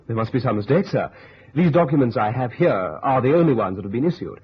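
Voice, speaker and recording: A dutiful lieutenant in a vintage radio play, polite and deferential, very breathy and husky, with lively pitch. The recording sounds muffled. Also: male voice, brisk. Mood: polite, puzzled. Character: polite, deferential young male army lieutenant. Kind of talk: old-time radio drama acting.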